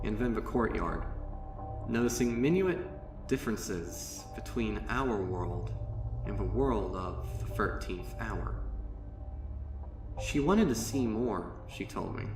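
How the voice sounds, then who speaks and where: A man reading a horror story as a dramatic narrator, grave and deliberate, with pauses for effect. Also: fairly low pitch. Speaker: fan fiction narrator. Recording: roomy, distant voice over music. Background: music.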